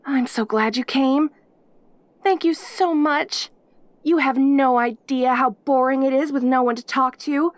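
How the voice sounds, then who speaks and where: A woman's voice: high voice, measured pace, dry recording. A woman voicing a perky, lonely caged demon girl in an intimate audio roleplay, with an eager, rambling, stammering manner. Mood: delighted, grateful. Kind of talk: audio roleplay acting, addressing the listener intimately.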